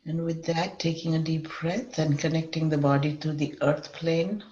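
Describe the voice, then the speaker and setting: Female meditation guide, measured and unhurried with long mid-sentence pauses, slightly dull lo-fi sound in a roomy space. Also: low voice, steady tone, mellow. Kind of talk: guided meditation, semi-improvised. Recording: dull lo-fi, echoey room.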